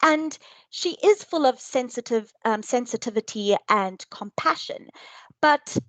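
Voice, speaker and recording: Woman, slightly unwell, hesitant, slow speech, dry recording. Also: fairly high pitch, expressive, fairly bright tone, no room sound. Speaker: cast member. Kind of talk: panel discussion.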